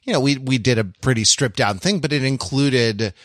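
A man with a medium-pitched voice chats casually on a podcast panel. He feels his way through sentences haltingly and riffs playfully as he thinks aloud. The sound is dry, with no room echo. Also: fairly bright tone. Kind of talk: casual chat.

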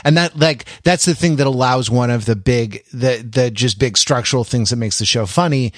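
A man's voice: medium pitch, moderate pace, no room sound, clean studio sound. A man from the United States co-hosting a podcast in casual chat, wry and hesitant, with many fillers. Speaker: podcast co-host.

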